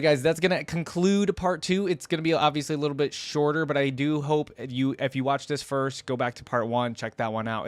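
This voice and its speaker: A man's voice: medium pitch, no echo. A man hosting a reaction video, talking informally and ramblingly as he thinks aloud into a close microphone. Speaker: video host reacting to game voice lines. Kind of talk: casual reaction commentary.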